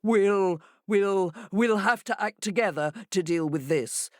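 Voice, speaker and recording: Woman doing a brash shouting jackal. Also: mid-range voice, slow, dry recording, clean studio sound, natural voice. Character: proud male jackal.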